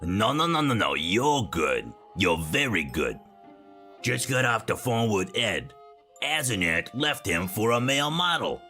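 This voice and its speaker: An actor playing a gruff, weary but loyal cab driver in a cartoon adventure game, grudging and exaggerated, with music underneath. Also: male voice, fairly low pitch, sing-song, unhurried, pushed and bright, heavily acted. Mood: flustered, impressed.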